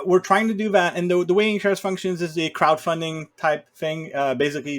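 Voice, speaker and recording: A man with an average-pitched voice talks as a guest on a podcast. He is an author and explains things conversationally, in an informal chat style.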